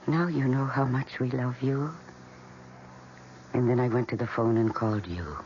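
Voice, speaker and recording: An intense, obsessive young housewife, muffled old radio. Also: female voice, rumbling low, moderate pace, darkish tone. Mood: dark, obsessive. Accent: American. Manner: intense, dramatic, breathy emphasis. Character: fragile, obsessive housewife confessing her killer plants' deed. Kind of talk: classic American radio drama acting. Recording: dull, noisy old radio recording.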